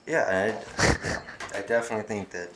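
A man with a deep voice hosting a podcast, talking in an easygoing, rambling way full of fillers. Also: steady tone, normal speed, noisy background. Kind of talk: casual chat.

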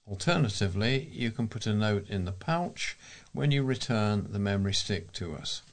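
A man's voice: fairly low pitch, expressive, moderate pace. A man with an English accent reading local newspaper items and announcements aloud as a volunteer presenter.

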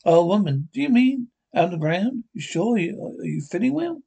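A man voicing an irritable, suspicious small-town housewife in a brusque, scolding way, with a husky voice. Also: high voice, very animated, moderate pace, mellow, full character voice.

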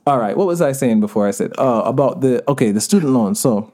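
A man with a Jamaican accent talking as a podcast guest, laughing often, slipping into patois and slowing down to stress key words. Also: medium pitch, animated, darkish tone. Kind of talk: casual podcast conversation.

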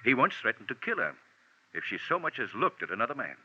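A man's voice: medium pitch, sing-song, quick pace, slight background noise, close to an everyday voice. A male actor plays a stuffy, blustering older office boss in vintage radio drama. His intonation swings widely as he fusses and repeats himself. The recording is old and muffled. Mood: earnest. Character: fussy, pompous older insurance general manager. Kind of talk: 1940s radio drama acting.

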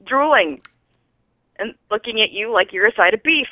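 A woman calling in to a podcast over a thin phone line, giving casual movie feedback in a halting, dryly puzzled way. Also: medium pitch, lively intonation, no room sound. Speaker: caller to a podcast. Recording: thin, band-limited telephone line.

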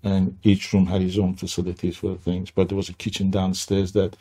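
An older man from Iran with a Persian accent, telling his life story as an interview guest in a halting way, with many restarts. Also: low voice, completely flat delivery, warm tone, breathy edge.

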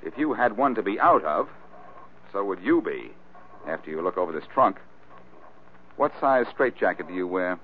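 An actor playing a cool, sardonic amateur sleuth with teasing dry wit in old-time radio detective style. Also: male voice, low-pitched, sing-song, normal speed, pauses often. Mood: mocking. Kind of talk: 1940s radio detective acting. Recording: noisy, tinny old-time radio recording.

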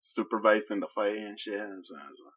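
A man's voice: fairly low pitch, normal speed, fairly bright tone. A man co-hosting a podcast, chatting in a laid-back way and swearing casually. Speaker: podcast co-host. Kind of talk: casual chat.

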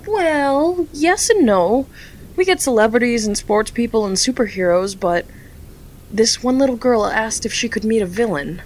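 A woman's voice: on the high side, lively intonation, moderate pace, a little hiss or hum, close to an everyday voice, low-quality recording. A woman playing an earnest young charity worker. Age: young adult. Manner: earnest, hesitant.

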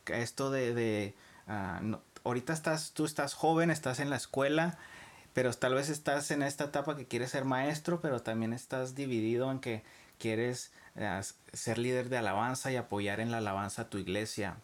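A man's voice: mid-range voice, moderate pace. A man hosting an interview, asking his questions and rephrasing them several times. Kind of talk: casual interview conversation.

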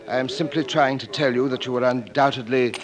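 An actor in a 1940s radio drama playing a dignified, deferential English manservant, speaking formally and politely with some hesitation in an English accent. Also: male voice, mid-range voice, fairly even intonation, a little fast, muffled recording, a crowd in the background, close to an everyday voice. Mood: formal, apologetic.

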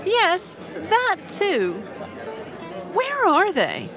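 An actress playing a genteel older society widow in an audio drama, polite, chirpy and refined. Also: female voice, very high-pitched, wildly swooping pitch, extremely slow, music in the background, crowd noise, exaggerated voice. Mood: breezy. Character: genteel, busy English society widow, bright and chatty.

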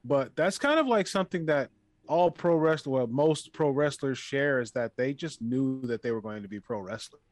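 A man's voice: medium pitch, expressive, moderate pace, dry recording. Rambling man, dry close sound. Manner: rambling, hedging, many restarts. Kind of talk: casual chat.

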